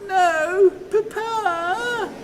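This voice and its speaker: A small, whining girl in a radio drama. The voice is extremely high and slowly drawn out, with faint noise behind. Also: big-room sound, exaggerated voice. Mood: tearful pleading. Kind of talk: radio play acting.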